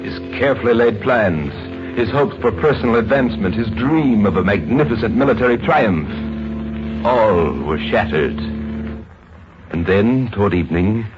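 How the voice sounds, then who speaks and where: A man narrating a 1950s radio drama in a grave, deliberate, suspenseful scripted style. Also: fairly low pitch, expressive. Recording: muffled vintage radio recording. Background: dramatic music.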